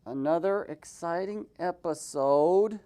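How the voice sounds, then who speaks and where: A man's voice: on the high side, takes it slowly, dark tone, clean tone. A man hosting a comic puppet show, telling the story in a mocking, theatrical and improvised way. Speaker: host and puppeteer.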